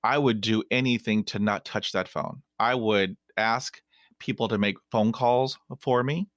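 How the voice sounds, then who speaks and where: A man's voice: on the low side, fairly even intonation, unhurried, fairly bright tone, dry recording, clean studio sound. A man hosting an interview who stutters, breaking off words and repeating them. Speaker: podcast host. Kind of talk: casual interview talk.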